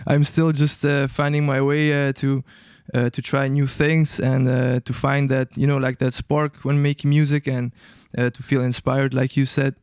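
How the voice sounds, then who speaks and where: Smooth-voiced young man, hesitant and searching. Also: medium pitch, flat delivery, normal speed, few pauses, a little mellow, dry recording, studio quality. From Albania. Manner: hesitant, searching for words, many restarts. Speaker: guest musician. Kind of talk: casual interview answers.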